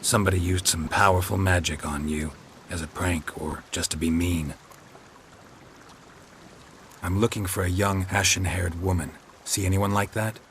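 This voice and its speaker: A man playing a gruff, laconic monster hunter in a video game, speaking in a terse, dryly wry deadpan. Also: deep voice, normal speed, slight background noise. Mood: matter-of-fact. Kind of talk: video game character dialogue.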